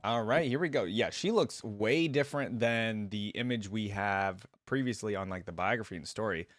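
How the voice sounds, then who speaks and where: A man's voice: on the low side, moderate pace, dry recording, studio quality. A man hosting a reaction video, giving casual, chatty commentary and musing aloud.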